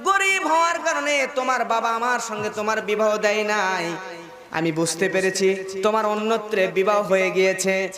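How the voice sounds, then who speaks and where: A Bangladeshi man voicing a lustful, menacing young butcher in a sermon story, with drawn-out, declamatory speech. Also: high voice, natural voice. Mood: coaxing, insistent. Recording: echoing loudspeaker sound with crowd.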